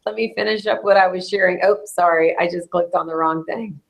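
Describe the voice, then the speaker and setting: Woman host, hoarse and worn, chatty, slightly low-pitched. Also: fairly even intonation, rough recording. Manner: chatty, quick to laugh. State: hoarse, losing her voice. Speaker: host of a bluebonnet bloom update. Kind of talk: casual live chat with a co-host.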